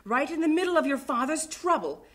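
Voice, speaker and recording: A woman's voice: high voice, expressive, brisk, a bit bright. An actress playing a stern, reproachful middle-aged mother in a radio drama, cutting and scolding.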